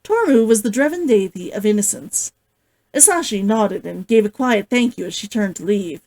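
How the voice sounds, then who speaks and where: A woman with an expressive way of talking, hosting a casual read-along as an author. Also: mid-range voice, normal speed. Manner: giggly, gushing, expressive. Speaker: host, author reading along. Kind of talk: casual livestream chat and novel read-aloud.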